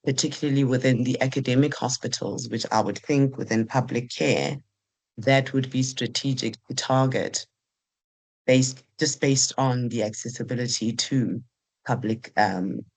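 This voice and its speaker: A hoarse woman, asking a long, earnest question on a video call. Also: a bass voice, poor audio. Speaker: meeting attendee asking a question. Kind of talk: question in an online meeting.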